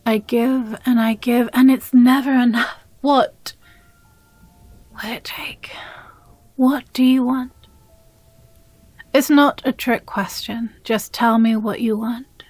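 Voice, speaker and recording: Woman playing slow, breathy possessive demon girlfriend. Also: fairly high pitch, steady tone, dry recording. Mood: hurt, exasperated. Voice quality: breathy, often dropping into whisper. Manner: slow, deliberate, sighing, condescendingly sweet. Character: possessive, jealous demon girlfriend, weary and menacing. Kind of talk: intimate audio roleplay addressed to the listener.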